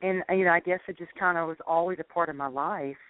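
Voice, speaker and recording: Deep-voiced woman singer on a phone line. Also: quick pace, clear, resonant tone, no echo. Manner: easygoing, laughs while telling stories. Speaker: singer, interview guest. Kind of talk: casual storytelling interview. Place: California. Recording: dry, tinny phone line.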